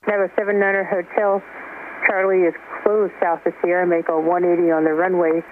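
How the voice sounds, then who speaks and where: A woman's voice: fairly low pitch, level tone, a little fast. A woman working as a tower controller, giving clipped procedural instructions over aviation radio. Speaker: air traffic tower controller. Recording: tinny, noisy air traffic radio.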